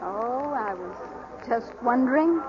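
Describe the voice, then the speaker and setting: A woman's voice: on the high side, animated, takes it slowly, over music. An actress playing a spirited young working woman in love, direct and wry, in 1940s radio style. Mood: wistful, hesitant. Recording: muffled, noisy 1941 radio recording.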